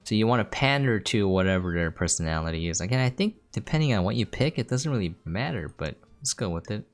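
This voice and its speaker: A woman with a very low-pitched voice hosts a game preview. She gives casual gameplay commentary, with faint music behind her. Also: expressive, quick pace.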